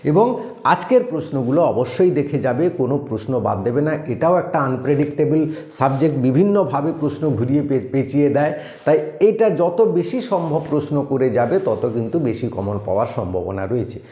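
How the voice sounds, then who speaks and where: A man's voice: medium pitch, animated, fairly quick, mellow. A man teaching exam revision, reading out questions and answers and directly instructing his students as he goes. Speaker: exam-prep teacher and presenter.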